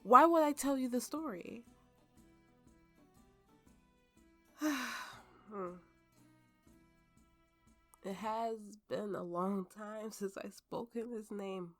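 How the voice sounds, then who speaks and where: A woman's voice: medium pitch, takes it slowly, pauses often. A woman voicing a drunken, playful and imperious warrior woman in an ASMR roleplay. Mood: indignant, reluctant. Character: tipsy, haughty, flirtatious warrior woman with a slave. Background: soft background music.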